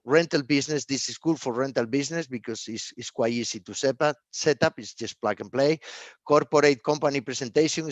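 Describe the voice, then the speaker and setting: A man who is not a native English speaker gives a live technical product presentation, speaking haltingly and often repeating words and phrases. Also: medium pitch, dry recording.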